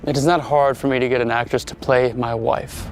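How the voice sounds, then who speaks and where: An actor playing a brooding, cynical duke in an audio drama, blunt and sardonic, with music underneath. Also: male voice, medium pitch, steady tone, a little fast, slight background noise, natural voice. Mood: cynical, guarded. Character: brooding, cynical, feared young duke, curt and commanding.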